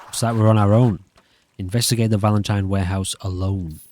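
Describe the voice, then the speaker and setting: A man's voice: fairly low pitch, steady tone, fairly quick, darkish tone. A man giving casual commentary as he plays through a game. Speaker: gaming video host. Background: game music.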